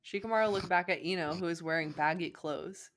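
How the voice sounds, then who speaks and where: A young woman with a slightly low voice narrates a story informally. Her delivery is playful and giggly, like a casual co-host reading fan fiction with a friend. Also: a little fast.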